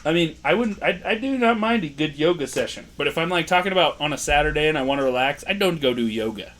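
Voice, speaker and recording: A young man with a loud voice, co-hosting a casual podcast, joking, laughing and reading quiz questions aloud. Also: a little high, lively intonation, fast. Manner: joking, playful, laughs often. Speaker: podcast co-host.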